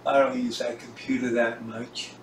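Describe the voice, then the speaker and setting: An older man giving a casual, halting tour while filming, speaking in short pieces. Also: medium pitch, flat delivery. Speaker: home recording hobbyist presenting own gear. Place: home recording room, indoors. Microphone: camera on tripod, some distance away. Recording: roomy echo, distant mic, hissy background.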